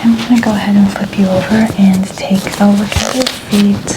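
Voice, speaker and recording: Female, soft-spoken ASMR medical examiner. Breathy near-whisper, gentle, step-by-step delivery, audible ambient noise. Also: mid-range voice, level tone. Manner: gentle, unhurried, explains each step. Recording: clear ambient background noise.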